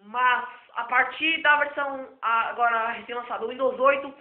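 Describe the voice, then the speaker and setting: A hoarse man with a very high voice rambles through an improvised computer lesson, circling back over his own points. The recording is thin and phone-like, in a roomy space with lots of echo. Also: normal speed. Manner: rambling and repetitive. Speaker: self-taught computer tutor. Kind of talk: improvised spoken tech lesson.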